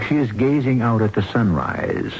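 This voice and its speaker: An actor as the host narrator of a radio mystery, a suave, deliberate storyteller with dramatic scripted delivery. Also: male voice, mid-range voice, big pitch swings, slight background noise. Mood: measured, scene-setting. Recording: muffled 1970s radio broadcast, loud music.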